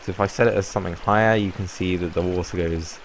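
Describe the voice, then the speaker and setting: A young man with a croaky voice from a cold, casually explaining a game build in a rambling way. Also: low-pitched, fast talker, a little hiss or hum, poor audio. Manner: relaxed, rambling explanation with fillers. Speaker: Minecraft YouTuber. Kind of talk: casual gameplay tutorial.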